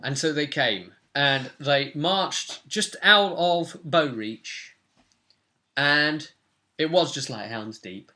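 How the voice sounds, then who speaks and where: A man's voice: on the high side, animated, takes it slowly, sharp tone, slight background noise. A man in his twenties casually recapping a tabletop role-play session as game master, telling it with dramatic flair in a room at home. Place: small furnished room at home, indoors.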